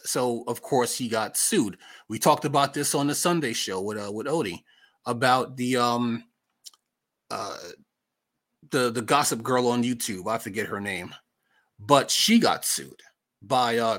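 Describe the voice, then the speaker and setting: A man's voice: mid-range voice, expressive, a little slow, fairly bright tone. A man with an American accent giving casual, opinionated political commentary as a podcast host, conversational and emphatic, repeating himself for effect.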